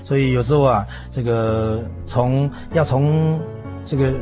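Male preacher guest, Taiwanese Mandarin, halting phrase-by-phrase reflection in medium pitch, clear music bed behind. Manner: halting, phrase by phrase with many sentence-ending particles. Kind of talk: conversational religious commentary. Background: background music.

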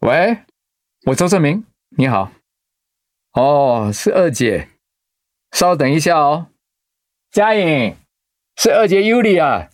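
A man acting an easygoing, caring middle-aged husband, a chaplain, in an expressive radio drama style. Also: a little high, takes it slowly, pauses often, no room sound. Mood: cheerful. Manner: expressive, conversational.

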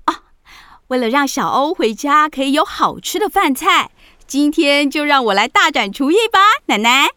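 A woman voicing a lively, kindly witch aunt in an expressive children's audio drama style. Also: high voice, moderate pace, no room sound, studio quality. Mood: reassuring. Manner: expressive, animated.

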